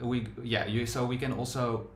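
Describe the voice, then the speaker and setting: A man with a Dutch accent testing software live, thinking aloud in halting, self-deprecating casual chat. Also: mid-range voice, fairly even intonation, echoey room. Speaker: developer testing a tool on screen.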